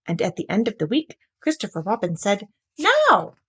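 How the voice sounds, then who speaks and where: A woman reading a children's story aloud in an expressive narrating style. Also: mid-range voice, a little fast, breathy edge. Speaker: story reader.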